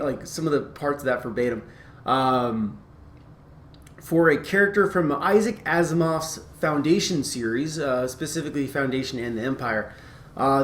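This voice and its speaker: A man giving a casual, informal explainer, talking in a halting, rambling way and often correcting himself. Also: a little high. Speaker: fan-theory video creator. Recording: echoey room, clear background noise.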